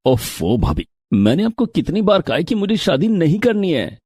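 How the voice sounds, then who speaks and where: An actor playing a stubborn, mildly exasperated younger brother-in-law in a moral story, insistent in manner. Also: male voice, on the high side, big pitch swings, normal speed, a little mellow, husky, no echo. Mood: exasperated, placating. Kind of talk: Hindi moral story character acting.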